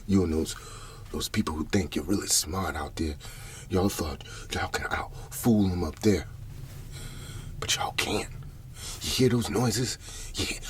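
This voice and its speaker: A man playing a paranoid, troubled older Southern farmer in an ASMR roleplay, whispering haltingly and repeating his words as he rambles. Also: deep voice, fairly bright tone, a little hiss or hum. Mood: agitated, suspicious. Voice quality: breathy whisper.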